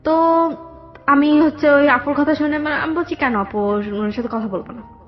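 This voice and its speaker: High-pitched young woman, Bangladeshi accent, halting personal storytelling, dull lo-fi audio. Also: steady tone. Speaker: guest telling own life story. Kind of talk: personal storytelling in a radio interview. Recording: dull lo-fi radio audio, background music.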